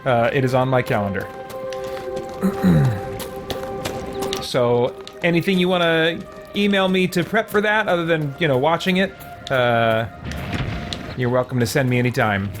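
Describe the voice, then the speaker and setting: A man streaming a game with casual commentary and chat replies, thoughtful and often pausing mid-sentence. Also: medium pitch, lively intonation, music in the background. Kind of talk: casual livestream gameplay commentary, reading chat.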